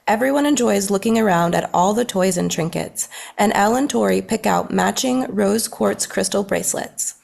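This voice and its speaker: A woman's voice: medium pitch, moderate pace, vocal fry, few pauses, darkish tone. A woman reading scripted narration and stage directions at a table read.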